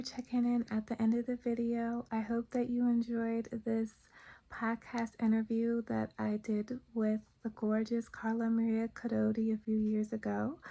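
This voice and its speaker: Creaky, mellow woman addressing viewers. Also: fairly high pitch. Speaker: podcast host. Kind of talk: casual video outro to viewers.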